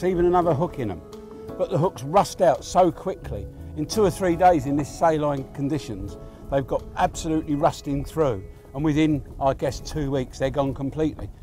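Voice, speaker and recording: An older man with an English accent presents a fishing show from a small boat. His voice sits a little high for a man, and he comments casually on the action. Light music plays in the background. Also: lively intonation, brisk, a little mellow. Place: outdoors on a small boat at sea.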